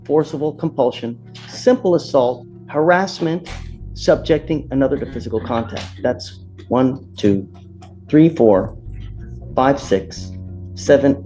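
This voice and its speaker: A man's voice: medium pitch, dark tone, low-quality recording. A man speaking as a judge in a courtroom, informal, blunt and plain-spoken. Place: indoor courtroom. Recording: courtroom audio with music added.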